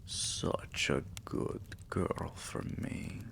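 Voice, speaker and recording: A man playing a tender, possessive vampire king in an intimate ASMR roleplay, murmuring soothingly and often whispering, with a deep, gravelly voice. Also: extremely slow, a bit bright, slight background noise. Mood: soothing, loving.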